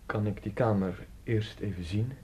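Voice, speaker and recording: A man acting a polite, well-to-do traveller in a radio drama, speaking in a measured, formal way. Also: on the low side, darkish tone, lightly acted. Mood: businesslike. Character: courteous well-to-do male traveller at a country inn. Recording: old radio play recording, hissy, clicks.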